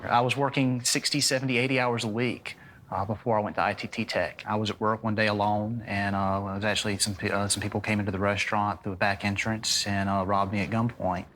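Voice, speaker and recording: A man's voice: lowish voice, level tone, fast talker, a bit bright, a little hiss or hum, rough recording. A man from the southern United States with an American accent, giving a plain personal testimonial for an advert.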